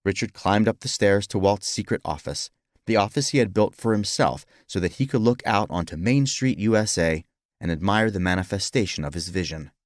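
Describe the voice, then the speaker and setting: Male audiobook narrator for historical fiction, lower register, scripted read, very dry sound. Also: animated, brisk, dry recording.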